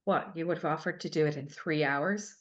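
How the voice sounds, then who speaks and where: A woman's voice: sits low, brisk, muffled recording. An actress playing a defiant, aggrieved, injured woman in a live screenplay reading. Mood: indignant. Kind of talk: live screenplay table read acting.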